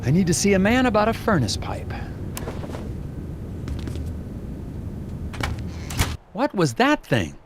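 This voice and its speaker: An earnest FBI investigator from an animated game, speaks in a lively, slightly high voice. He sounds puzzled and matter-of-fact, over a clear rumble. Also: a little fast. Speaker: FBI agent.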